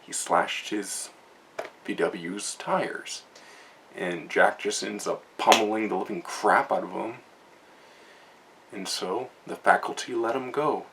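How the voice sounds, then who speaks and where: Gravelly young man rambling a book review. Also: on the low side, slow pace, long pauses, a bit bright, a little hiss or hum. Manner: rambling, many fillers and restarts. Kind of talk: casual spoken book review from notes.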